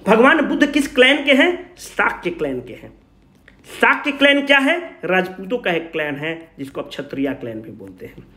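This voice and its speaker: A high-pitched man teaches in an echoing room. His intonation swings widely as he puts questions to his students and then answers them himself. The style is an informal, familiar coaching lecture. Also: huge pitch swings, normal speed, sharp tone. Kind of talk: informal exam revision lecture, asking and answering own questions. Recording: roomy, echoing sound.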